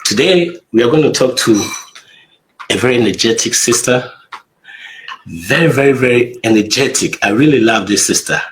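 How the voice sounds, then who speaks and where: A man's voice: mid-range voice, lively intonation, normal speed, fairly bright tone, slight background noise, low-quality recording. A man hosting an interview, asking questions in a friendly, rambling way with many restarts. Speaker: host.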